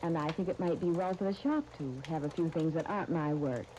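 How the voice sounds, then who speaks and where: An actress as a courteous woman who runs a dress shop in a 1930s radio soap. Her voice is lowish and mellow, her delivery measured and careful. Faint crackle from an old broadcast disc runs underneath. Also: natural voice. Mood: polite, careful. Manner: polite, measured, hesitant.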